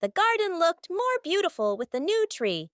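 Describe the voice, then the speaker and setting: Very high-pitched woman narrating a children's story. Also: big pitch swings, normal speed, fairly bright tone, no echo, studio quality. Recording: music behind the voice.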